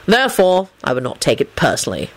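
Woman acting a low, unruffled, sardonic man. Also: wildly swooping pitch, exaggerated voice, rough recording. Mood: thoughtful, dry. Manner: polite, measured, dry. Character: calm, sardonic, dignified male law officer.

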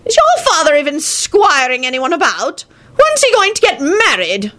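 A woman's voice: high-pitched, wildly swooping pitch, normal speed, bright, forceful tone, voice pushed up, exaggerated voice. A woman voicing a haughty, scolding, very old male wizard grandfather in a storybook reading, with pompous old-fashioned phrasing.

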